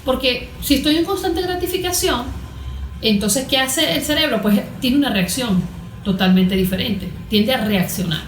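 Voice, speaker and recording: A woman's voice: a little high, normal speed, soft background music. A woman with a Venezuelan accent explaining as an expert guest in a conversational interview, didactic, posing questions and answering them. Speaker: guest expert, cognitive therapist. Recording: echoey room.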